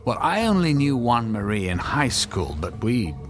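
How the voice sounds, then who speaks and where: An actor playing a polite, hesitant, bewildered husband in a radio drama. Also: male voice, medium pitch, sing-song, normal speed, lightly acted. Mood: wary, defensive. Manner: hesitant, stammering. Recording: music under the voice.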